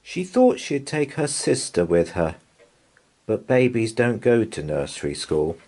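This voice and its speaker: A man reading a children's picture book aloud in a gentle, lively, sing-song way. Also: lowish voice, a little slow. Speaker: storybook reader.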